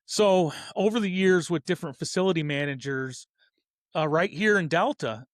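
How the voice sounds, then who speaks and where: A man's voice: on the high side, moderate pace, no room sound, studio quality. A man in his fifties hosting a casual, opinionated hobby show, talking earnestly in a rambling way and correcting himself as he goes. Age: 50s. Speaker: host of a hobby racing show. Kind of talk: casual opinionated talk about hobby racing.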